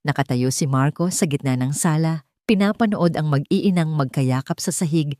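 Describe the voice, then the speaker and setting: A woman's voice: low-pitched, a little mellow, dry recording, studio quality. A woman with a Filipino accent reading a dramatic story as an audiobook narrator.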